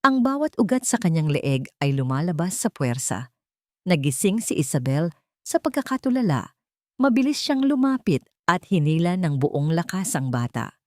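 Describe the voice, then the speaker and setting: Low-pitched woman narrating, close and dry. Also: very animated, normal speed, darkish tone, no room sound. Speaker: storyteller. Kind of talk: dramatic scripted narration of a love story.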